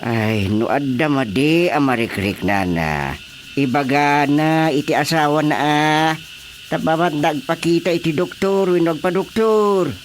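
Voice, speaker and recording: A man's voice: on the high side, unhurried, a little hiss or hum, natural voice. A man acting a grumbling, dismissive husband in a radio drama, exclaiming with an Ilocano accent.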